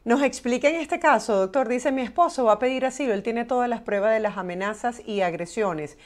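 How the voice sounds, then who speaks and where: A woman's voice: fairly high pitch, fairly quick. A woman hosting a broadcast interview, reading questions aloud and moving the conversation along. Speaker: host reading viewer questions.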